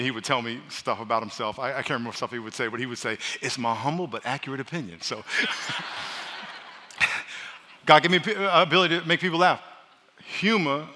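A pastor preaching a sermon, loud and joking, calling on his congregation to answer back. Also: mid-range voice. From New York. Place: large church hall. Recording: live sermon in a reverberant hall.

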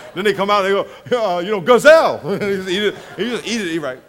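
A man's voice: high-pitched, brisk. A man preaching a sermon, telling comic travel stories, acting out voices and laughing along. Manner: animated storyteller, acts out voices, laughs often. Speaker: pastor.

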